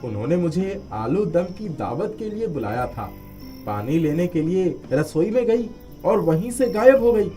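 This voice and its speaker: A respectful village headman in a cartoon moral tale, played by a man. His mellow voice swings widely in pitch, expressive and eager. Faint music sits underneath. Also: unhurried. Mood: worried, puzzled. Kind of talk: Hindi animated moral story acting.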